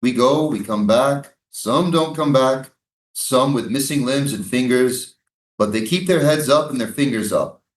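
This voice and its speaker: A man's voice: medium pitch, a little slow, close to an everyday voice. A man in a staged play reading, playing a defensive, sardonic American military prison guard. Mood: cynical, weary. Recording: roomy, echoing live staged reading.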